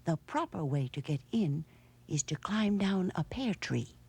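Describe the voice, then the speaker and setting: A woman's voice: lowish voice, animated, a little slow, creaky, darkish tone, slightly rough, full character voice. A woman voicing a confident little boy bunny in a children's storybook, confiding and matter-of-fact. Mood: matter-of-fact advice. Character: little boy bunny, bold, confident, know-it-all cousin.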